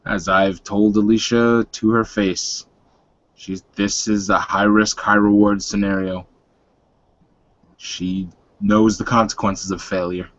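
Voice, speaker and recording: Male player as blunt werewolf teacher, low voice, solemn, measured, tabletop roleplay, dry muffled sound. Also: level tone, a bit bright, no echo. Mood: stern, worried. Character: grave, blunt male werewolf mentor, clove smoker. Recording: dull, dry lo-fi voice recording.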